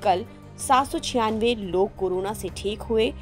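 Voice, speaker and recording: Lady reading the news, formal, medium pitch, music behind her. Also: measured pace. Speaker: news presenter. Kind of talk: scripted news narration. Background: background music.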